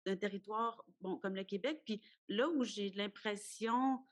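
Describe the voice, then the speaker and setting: A woman speaks as a panelist at an academic round table. She talks thoughtfully and often repeats a word while she works out her idea. Her voice sits at an ordinary pitch for a woman. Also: unhurried.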